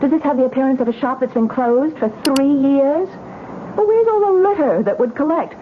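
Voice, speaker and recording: An actress playing a distraught young wife who pleads and insists, in old-time radio mystery style. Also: female voice, high voice, expressive, a little fast. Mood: defiant. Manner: pleading, emphatic repetition. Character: distraught, insistent young wife doubting her own sanity. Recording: noisy, tinny old radio broadcast.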